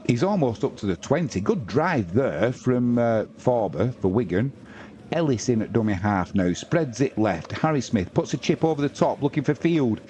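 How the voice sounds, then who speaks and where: A man's voice: mid-range voice, darkish tone, slight background noise. A man doing informal, matey live rugby league commentary on a stream, mixing play-by-play with chatty asides to viewers. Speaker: sports livestream commentator and host.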